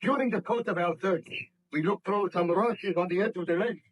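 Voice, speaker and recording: Accusing village official, high husky voice, formal declamatory delivery, vintage radio drama. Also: male voice, a little fast, low-quality recording. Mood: grave, formal. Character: stern accusing village burgomaster, hot on an arrest. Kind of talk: 1930s radio play acting. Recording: old radio drama recording.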